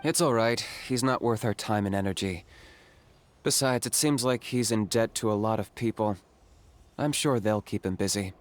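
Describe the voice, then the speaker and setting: A man as a deadpan, low-voiced stoic hunter. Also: normal speed, a little hiss or hum, close to an everyday voice. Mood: calm, dismissive. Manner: deadpan, matter-of-fact. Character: stoic, level-headed young male hunter. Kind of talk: video game voice acting.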